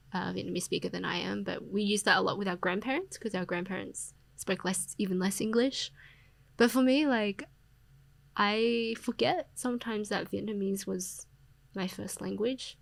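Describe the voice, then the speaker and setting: Young Australian woman with a gravelly edge. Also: mid-range voice, fairly even intonation, moderate pace, no room sound. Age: young adult. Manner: reflective, with frequent fillers and soft laughs. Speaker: podcast guest, poet. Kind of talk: casual interview conversation.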